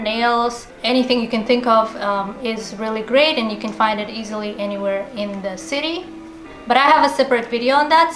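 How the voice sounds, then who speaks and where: High-voiced young woman vlogging, roomy sound. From Kazakhstan. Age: young adult. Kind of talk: upbeat vlog talk to camera. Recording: roomy echo, faint music underneath. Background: background music.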